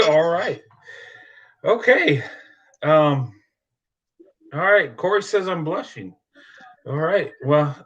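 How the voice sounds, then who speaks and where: A man's voice: a little high, a bit bright, dull audio. A man hosting a live stream in casual chat, reassuring and laughing often, with many "uh" fillers.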